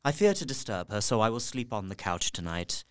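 Earnest young diarist, dry sound. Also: male voice, on the low side, sing-song, fairly quick, smooth, no echo. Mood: tender, anxious. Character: earnest young English solicitor, devoted husband, writing journal. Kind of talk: dramatized diary narration.

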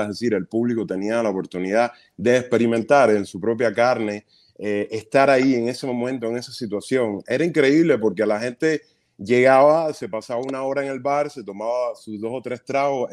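A Cuban stage actor in his thirties, a guest in an interview, telling stories in an earnest, animated way with quick bursts of speech. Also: medium pitch. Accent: Cuban Spanish. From Cuba. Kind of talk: casual interview storytelling.

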